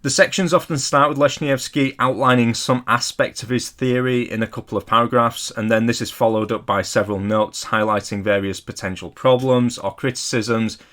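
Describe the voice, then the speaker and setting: A man narrating a scripted lecture as an amateur hobbyist video host, in a measured, careful and modest explanatory manner. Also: on the low side, fairly bright tone. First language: not Polish; English speaker.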